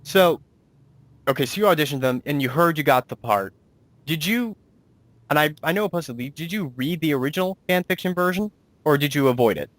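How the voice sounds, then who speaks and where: A man's voice: fairly high pitch, animated, brisk, a bit bright, no echo. Man chatting as interviewer, dry close sound. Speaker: interviewer and director of an amateur audio drama. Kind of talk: casual interview chat.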